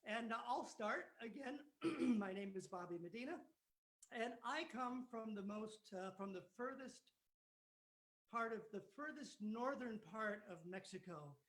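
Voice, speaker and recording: A man's voice: sits high, animated, fairly bright tone. A man in his nineties from East Los Angeles, a mariachi musician casually introducing the band with jokes and fillers. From East Los Angeles, California. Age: 90s. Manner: joking, halting with fillers. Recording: roomy echo.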